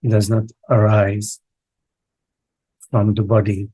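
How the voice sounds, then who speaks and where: A man with a lowish, husky voice guides a meditation. He speaks in short, halting phrases and leaves long pauses between them. The sound is dry and close. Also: monotone, dark tone, dry recording, poor audio. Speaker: meditation teacher leading a live online session. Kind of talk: guided meditation, slow contemplative speech.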